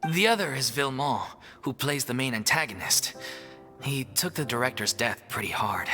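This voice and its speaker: A man's voice: mid-range voice, lively intonation, normal speed, sharp tone, soft background music. An actor voicing an earnest male member of a theatre troupe in a video game, telling things expressively. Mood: concerned.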